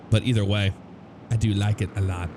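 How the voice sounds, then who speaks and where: A young man from the United States talks through a driving game in a loose, rambling way, full of fillers and restarts. His voice sits on the low side and is hoarse from a cold. Loud engine and road sounds from the game run underneath him. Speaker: gameplay commentator. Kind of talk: casual driving game commentary. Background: car engine and road noise from the game.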